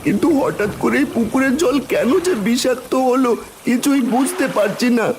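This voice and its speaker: A man's voice: very high voice, moderate pace, a little mellow, a little hiss or hum. A man voicing a worried, protective elder brother fish in a children's cartoon. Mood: suffering, reassuring. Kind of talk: Bengali cartoon voice acting.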